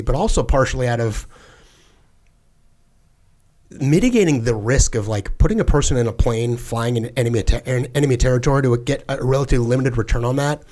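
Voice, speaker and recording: A man's voice: medium pitch, darkish tone. Casting note: former fighter pilot guest, middle-aged man, mid-range voice, earnest and chatty, leans on fillers. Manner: earnest, with frequent "like" fillers and restarts. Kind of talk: casual conversational interview.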